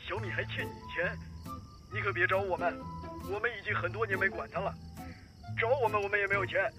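A man acting a grasping, high-voiced middle-aged father. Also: steady tone, quick pace, loud music behind the voice, natural voice. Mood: suspicious, defensive. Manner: blunt, pushy, nagging. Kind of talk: modern Chinese radio drama.

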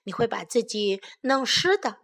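A woman's voice: fairly high pitch, sing-song, moderate pace, a bit bright. A woman voicing a stern, protective father bear in a storybook, giving firm warnings. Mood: stern, cautioning.

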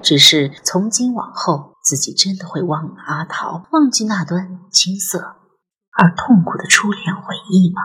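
A woman's voice: on the low side, animated, unhurried, a bit bright, breathy edge, rough recording. A woman narrating a ghost story as a dramatic audiobook, telling it expressively and with suspense. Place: studio recording with music behind.

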